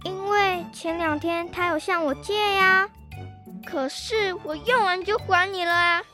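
A woman's voice: very high voice, a little slow, bright, forceful tone, faint music behind, exaggerated voice. A schoolgirl acting a whiny, indignant young sister in a children's radio drama. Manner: whiny, protesting.

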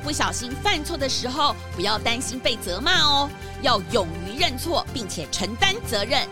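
A woman's voice: high-pitched, big pitch swings, moderate pace. A woman hosting and narrating a children's podcast in scripted storytelling style, addressing young listeners. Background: music.

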